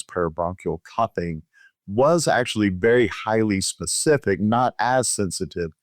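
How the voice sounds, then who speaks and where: A man with a low-pitched voice speaks as a physician being interviewed. He explains clinical points in a conversational way, recorded dry with very little room sound. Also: dry recording. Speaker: physician, podcast guest.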